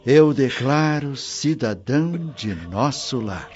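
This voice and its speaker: A man's voice: mid-range voice, lively intonation, a little mellow. A man playing a benevolent spirit mentor in a radio drama, speaking with formal, solemn dignity. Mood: solemn, affectionate. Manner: solemn, formal, measured proclamation. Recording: dull lo-fi audio with music behind. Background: clear background music.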